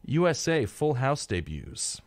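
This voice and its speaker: A man's voice: mid-range voice, big pitch swings, measured pace, dry recording. A man co-hosting a live radio show in a casual, chatty, rambling way, tossing in wry reactions, close on a studio microphone.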